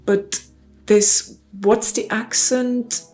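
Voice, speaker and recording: Thoughtful Italian-accented woman, softly halting. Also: a little high, fairly even intonation, soft background music. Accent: Italian-accented English. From Italy. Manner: thoughtful, halting, many fillers and restarts. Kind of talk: reflective personal monologue. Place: lives in Brighton.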